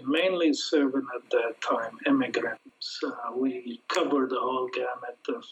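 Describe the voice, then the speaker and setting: A man from Lebanon speaks in steady, formal interview answers, pausing on small hesitations. The audio is dull and lo-fi, with the top end muffled. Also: mid-range voice. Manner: measured, formal, with hesitation fillers. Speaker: guest, nonprofit executive director.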